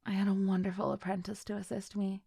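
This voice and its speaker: A woman's voice: medium pitch, monotone, fast talker, creaky, warm tone, slightly rough, dry recording, studio quality. A woman playing a chill, flirty best friend with a secret crush in an intimate audio roleplay, speaking in a giggly, hesitant, affectionate way. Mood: upbeat, encouraging. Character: chill, flirty female best friend shyly confessing love. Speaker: voice actor.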